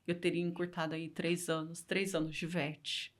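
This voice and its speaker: Earnest Brazilian woman, lowish voice. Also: flat delivery, normal speed. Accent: Brazilian Portuguese. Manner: earnest, repeats phrases for emphasis. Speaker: interview guest, accountant. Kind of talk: casual interview conversation.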